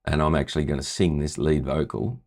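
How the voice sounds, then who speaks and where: A man with a low voice talking casually as a music tutor, walking through a screen-share tutorial and often checking in with "right?". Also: brisk, darkish tone.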